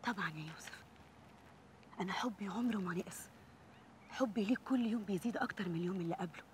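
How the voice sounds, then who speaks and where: A woman's voice: mid-range voice, rapid-fire, a little mellow, slight background noise, lightly acted. An actress playing a young woman in love who speaks tenderly to her sweetheart, with an Egyptian accent. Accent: Egyptian Arabic. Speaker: Nora.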